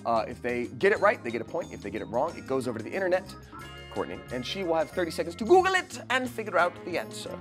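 A man's voice: on the high side, wildly swooping pitch, fast, soft background music. A young man with a theatrical, jokey way of talking, playfully hosting a quiz show. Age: young adult. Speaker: game show host. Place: indoor studio set.

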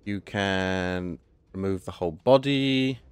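A man with a hoarse voice, casually talking through a game update and thinking aloud as he plays. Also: low-pitched, slow pace, no echo. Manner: chatty, thinking aloud, self-correcting. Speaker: gaming channel host. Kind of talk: casual gameplay commentary.

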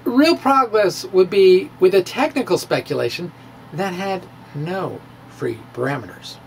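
A middle-aged man giving a dramatic, emphatic physics lecture to camera in a halting, theatrical delivery. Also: high-pitched, a little hiss or hum. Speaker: science video blogger. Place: indoor room with bookshelves. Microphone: handheld-style microphone on a stand, close in front.

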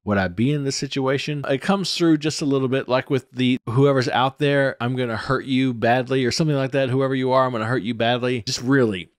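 A man's voice: mid-range voice, fairly even intonation, brisk, few pauses, no room sound. Man, forties, commentary host. Conversational and critical, emphatic delivery, dry close-miked home studio sound. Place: small indoor home studio. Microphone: close microphone on a stand, headset worn.

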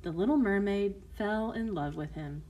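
A woman's voice: medium pitch, moderate pace, a little mellow, rough recording. A woman narrating a scripted fairy tale for children as a storyteller.

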